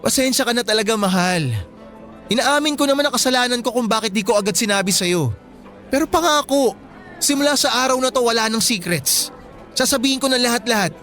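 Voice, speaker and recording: A man's voice: very high voice, animated, a little fast, a bit bright. A man acting an earnest, disapproving young boyfriend in a radio drama, with a Filipino accent and an insistent, emotional delivery. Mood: apologetic. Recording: music bed behind the voice.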